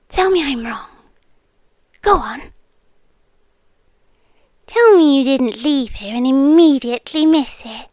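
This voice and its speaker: A British woman playing a possessive, lonely immortal monster in an intimate ASMR roleplay, speaking deliberately and teasingly and often slipping into whispers. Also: high voice, no echo, full character voice. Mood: softly taunting. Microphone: close microphone, dry.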